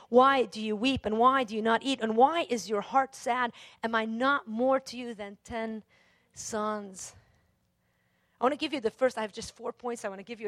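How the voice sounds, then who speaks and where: High-voiced woman preaching, close dry sound. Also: no echo. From Lebanon. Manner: emphatic, repeats phrases for effect, swings from hushed to forceful. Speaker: preacher, Bible teacher. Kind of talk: sermon with scripture reading and personal testimony.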